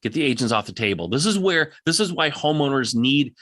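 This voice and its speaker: Male podcast host, bright and driving tone, dry close sound, blunt coaching delivery that hammers key points. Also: mid-range voice, animated, brisk, dry recording. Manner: emphatic, insistent, repeats points; direct, plain-spoken. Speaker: podcast host answering audience questions. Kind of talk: live Q&A coaching.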